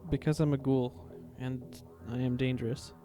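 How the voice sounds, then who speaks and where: A man's voice: medium pitch, little pitch movement, moderate pace, music in the background, close to an everyday voice. A man playing a shy, polite half-ghoul boy held prisoner, hesitant and apologetic, in an anime fan fiction drama.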